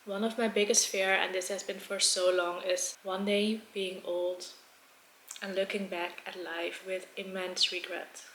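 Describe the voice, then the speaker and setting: Even-toned young woman, roomy sound. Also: mid-range voice, unhurried. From Netherlands. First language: Dutch. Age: 20s. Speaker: mukbang video host. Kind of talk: casual vlog chat while eating. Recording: roomy echo, faint background noise.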